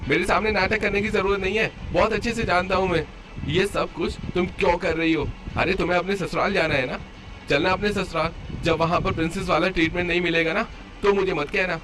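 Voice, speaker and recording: A man's voice: high-pitched, fairly even intonation, brisk, lightly acted. A man voicing an arrogant, short-tempered, sarcastic son-in-law who whines and complains in a cartoon story. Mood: bitter, sarcastic. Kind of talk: Hindi cartoon story dialogue. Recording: clear background noise under voice.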